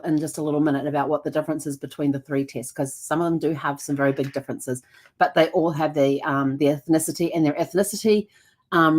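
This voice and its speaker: A woman hosting a genealogy show, explaining things casually with frequent ums, restarts and self-corrections. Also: deep voice.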